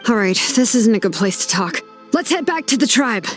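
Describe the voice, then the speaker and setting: A woman's voice: on the high side, sing-song, brisk, faint music behind. A woman playing a direct, dependable young female fighter in a video game. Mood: practical, relieved. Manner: direct, practical. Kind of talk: video game character acting.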